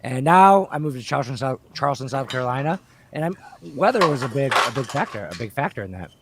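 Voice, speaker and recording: A man's voice: mid-range voice, lively intonation, quick pace, heavy background noise. A young man from upstate New York who hosts a podcast, keen and casual, says dude and repeats his phrases. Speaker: podcast host.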